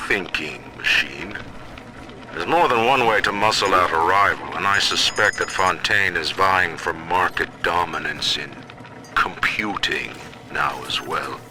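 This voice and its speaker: Game villain: imperious middle-aged tycoon, low-voiced and sweeping in intonation, pompous menace, on a noisy old diary recording. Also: male voice, expressive, sharp tone, full character voice. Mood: suspicious, threatening. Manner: pompous, deliberate, menacing formal diction. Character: stern, proud, autocratic male tycoon ruling his own city. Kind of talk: dramatic recorded diary monologue, formal oratory.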